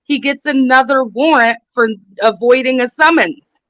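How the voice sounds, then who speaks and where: A woman's voice: sits high, normal speed, fairly bright tone, no echo. A woman guest on a livestream, sharing news in casual conversation. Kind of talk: casual chat, sharing gossip.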